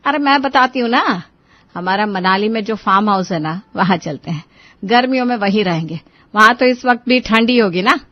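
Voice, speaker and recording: A woman's voice: mid-range voice, animated, moderate pace, no echo, lo-fi sound, close to an everyday voice. A woman voicing a peacemaking older mother-in-law in a cartoon family story. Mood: soothing. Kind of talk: cartoon family drama acting.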